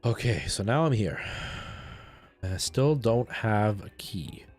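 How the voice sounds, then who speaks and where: A man's voice: fairly low pitch, expressive, slow pace, a little mellow, faint music behind. A man chatting casually and enthusiastically with viewers as he hosts a video game livestream. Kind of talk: casual livestream chat while playing a game.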